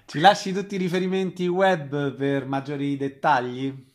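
A man's voice: fairly high pitch, flat delivery, moderate pace. A man hosting a radio interview, putting brief questions in an informal, conversational way.

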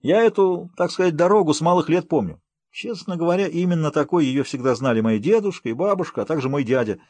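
A man's voice: a little high, animated, fast talker, darkish tone, no echo, pitched above the performer's own voice. A man voicing a cheerful, confident, wordy man who is a friendly guide, in an audiobook. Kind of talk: audiobook character voice.

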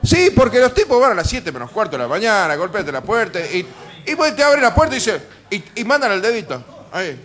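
A man's voice: high-pitched, big pitch swings, brisk, fairly bright tone. An Argentine man hosting a radio show with humorous, casual banter, telling anecdotes in a wry, colloquial, sweary way. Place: live radio broadcast from a bar.

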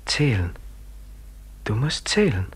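Breathy, raspy, grim inner voice. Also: male voice, medium pitch, big pitch swings, takes it slowly, exaggerated voice. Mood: eerie, insistent. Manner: half-whispered, terse, commanding and pitiless. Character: grim, taunting inner voice of a trapped man. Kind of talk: German radio play acting.

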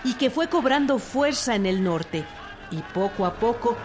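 Woman narrator over clear music. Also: on the high side, animated, unhurried. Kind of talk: scripted documentary narration.

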